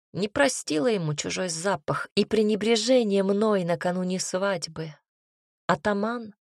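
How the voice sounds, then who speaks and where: A woman's voice: mid-range voice, a little mellow, dry recording, clean studio sound. A woman narrating a first-person fantasy audiobook with a wry, ironic storytelling manner.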